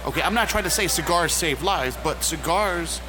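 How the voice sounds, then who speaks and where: A man talking as a guest on a podcast in a cigar lounge, joking and rallying listeners in an animated way. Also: fairly high pitch, moderate pace. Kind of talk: casual roundtable chat. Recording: noisy with passing traffic.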